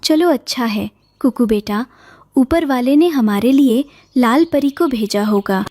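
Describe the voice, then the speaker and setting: A gentle cartoon mama sparrow, played by a woman, with a fairly high, mellow voice. She speaks slowly, tenderly and patiently. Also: dry recording. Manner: tender, coaxing. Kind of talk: children's cartoon voice acting.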